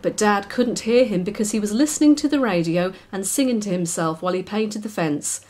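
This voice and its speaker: A woman's voice: mid-range voice, fairly quick, a little mellow. A woman narrating a children's story expressively as its author.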